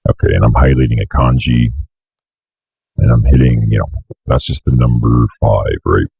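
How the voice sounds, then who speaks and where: Very deep, gravelly young man. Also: normal speed, dry recording, dull audio. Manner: informal, offhand, swears casually. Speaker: tutorial host. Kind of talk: casual screencast tutorial. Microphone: close desk microphone on an arm.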